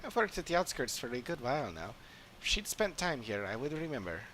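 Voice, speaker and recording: A man's voice: mid-range voice, very animated, fairly quick, a bit bright, a little hiss or hum, low-quality recording. A man voicing a polite, untroubled town resident in a video game, mild and matter-of-fact. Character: polite, puzzled townsfolk resident, untroubled and helpful. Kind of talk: read-aloud video game dialogue.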